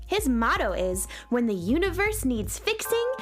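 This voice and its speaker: An earnest young heroine of a space radio show for children. The voice is high and lively, rising and falling expressively like a storyteller's, with soft music behind it. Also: female voice, measured pace. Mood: storytelling. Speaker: narrator and lead character.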